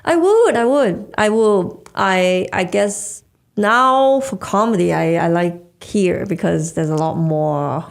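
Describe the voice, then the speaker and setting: A woman's voice: medium pitch, lively intonation, slow pace, creaky. A woman, a Cantonese speaker, chatting as a podcast guest with lots of fillers and restarts. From Hong Kong. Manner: hesitant, trailing restarts and many fillers. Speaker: guest, stand-up comedian. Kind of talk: casual conversation.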